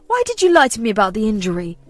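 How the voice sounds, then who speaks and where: A woman's voice: medium pitch, huge pitch swings, very fast. A woman voicing a torn adult son who answers his mother in a firm, earnest, weary way.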